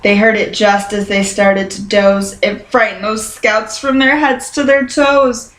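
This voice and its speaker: A woman reading a children's picture book aloud in a careful, expressive storytelling manner. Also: medium pitch, a little slow. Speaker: storybook reader. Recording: roomy, echoing sound.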